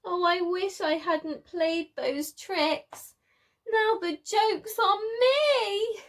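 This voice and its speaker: A woman's voice: very high voice, drawn-out words, voice pushed up, heavily acted. A woman voicing a mischievous, boastful young male dinosaur with theatrical, teasing delivery in a children's storybook. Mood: regretful.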